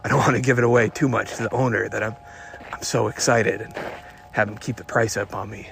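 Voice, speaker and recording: A man, a hot rod hobbyist, musing to himself in a personal audio diary with halting, wistful, repetitive phrasing. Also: on the low side, steady tone, vocal fry, fairly bright tone, a little hiss or hum.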